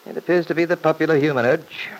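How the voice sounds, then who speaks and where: An actor playing a shrewd, dryly sardonic attorney in an old radio serial, probing and deliberate. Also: male voice, a little high, steady tone, lightly acted. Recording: noisy old radio broadcast recording.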